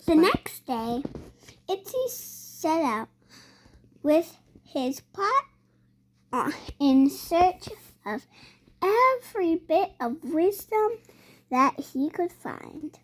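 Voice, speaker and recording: A child with a high voice reads a storybook aloud very slowly. The delivery is halting and hesitant, with words sounded out and repeated. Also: very animated. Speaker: young reader.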